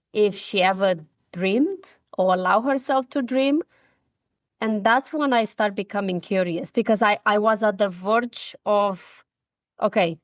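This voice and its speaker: A middle-aged woman with a Romanian accent speaks in an earnest, heartfelt way. She breaks off and restarts as she talks, and her voice sits at a middle pitch. The recording is dry and close, with no room sound. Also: no room sound. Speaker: guest, speaker and coach. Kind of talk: personal story in a podcast interview.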